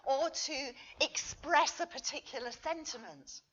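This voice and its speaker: High-pitched woman lecturing at a slow pace. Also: lively intonation, fairly bright tone. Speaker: lecturer reading a conference paper. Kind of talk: scripted academic lecture read aloud. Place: conference talk. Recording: dull, muffled lo-fi recording.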